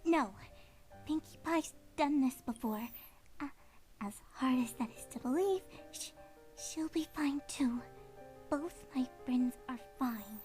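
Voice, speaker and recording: Timid young cartoon mare, played by a woman: high, slow, hesitant and gentle, with music underneath. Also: animated. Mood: self-reassuring. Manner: hesitant, halting, breathy. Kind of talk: fan fiction character reading.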